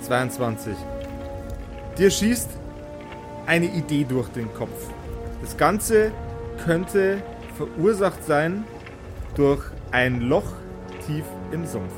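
A man runs a tabletop role-playing game in a slightly high voice. He narrates haltingly, repeating words as he improvises the scene. Music plays clearly behind him. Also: lively intonation. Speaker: game master.

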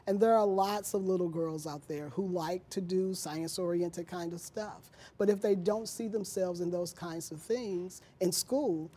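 A middle-aged woman speaks as an interview guest with a husky, slightly low voice. Her tone is dark and mellow, her delivery measured and earnest as she explains.

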